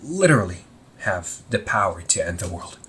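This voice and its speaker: Man acting a low-voiced, animated young supervillain. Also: a little hiss or hum, exaggerated voice. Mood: wry, resigned. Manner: informal, sighing, halting, exasperated. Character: anxious, wry young supervillain confiding in a sibling. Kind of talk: amateur audio roleplay, casual conversational acting.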